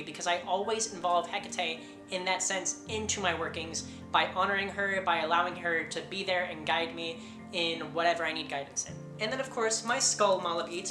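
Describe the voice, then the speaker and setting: A woman vlogger chatting casually and candidly to camera, informal and emphatic in her delivery. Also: lowish voice. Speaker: vlogger on witchcraft practice. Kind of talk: casual personal chat to camera. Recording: roomy echo, music underneath. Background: background music.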